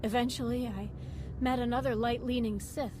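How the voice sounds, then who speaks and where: An actress playing a devoted, reflective former Sith apprentice in a video game, speaking earnestly in measured, formal phrases. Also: female voice, a little high, fairly even intonation, noisy background, natural voice.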